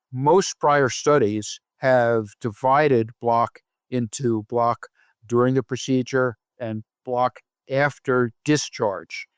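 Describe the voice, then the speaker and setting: A man with a mid-pitched voice answers as an expert interview guest. His delivery is measured, formal and technical, and the sound is very dry. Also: smooth, no echo. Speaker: guest, professor of cardiac electrophysiology.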